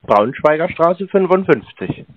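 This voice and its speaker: A man's voice: on the high side, sing-song, measured pace, dry recording. Man answering in short easygoing replies. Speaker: call recipient. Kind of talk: phone call. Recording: tinny phone line.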